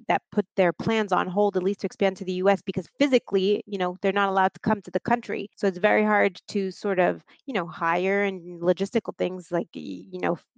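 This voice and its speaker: A woman's voice: medium pitch, vocal fry, no echo, dull audio. A woman in her forties from southern France, whose first language is French, explaining her business law practice with frequent restarts. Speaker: guest, business attorney. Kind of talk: interview monologue.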